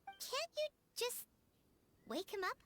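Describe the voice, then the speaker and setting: A woman voicing a tiny, blunt, chirpy female fairy companion with a childish squeaky voice in a video game. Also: sing-song, takes it slowly, a bit bright, faint music behind, heavily acted. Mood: puzzled. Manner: refers to self in third person, blunt.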